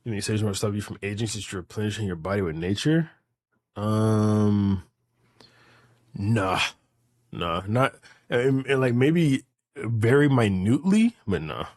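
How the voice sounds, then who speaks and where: A man's voice: fairly low pitch, expressive, a little slow, long pauses, a little mellow, dry recording, clean studio sound. Young man, casual, emphatic and repetitive. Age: late 20s. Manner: emphatic, repeats phrases, profane slang. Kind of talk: casual chat, rambling hypotheticals.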